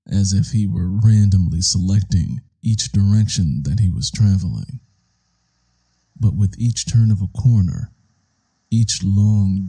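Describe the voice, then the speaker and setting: Slow, dark-voiced man narrating suspense. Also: lowish voice, breathy edge, poor audio. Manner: deliberate, measured, ominous storytelling. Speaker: audiobook narrator. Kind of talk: dramatic scripted suspense narration.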